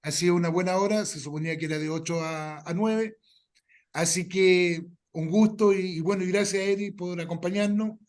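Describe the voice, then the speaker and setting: A man's voice: sits high, fairly even intonation, normal speed, a little mellow. A man with a Chilean accent hosting a workshop, talking informally, repeating himself and checking for agreement with tag questions. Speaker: workshop host.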